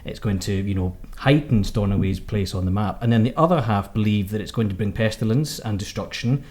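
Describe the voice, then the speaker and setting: A man's voice: fairly low pitch, a little fast, darkish tone. Jokey Scottish man co-hosting a podcast. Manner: jokey, enthusiastic, fond of hyperbole. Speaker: podcast co-host, cruise editor. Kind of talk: casual podcast chat.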